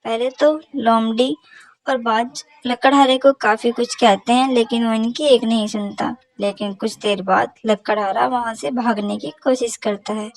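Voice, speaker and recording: A woman's voice: on the high side, darkish tone, dry recording, rough recording. A woman narrator reading a scripted children's story about animals.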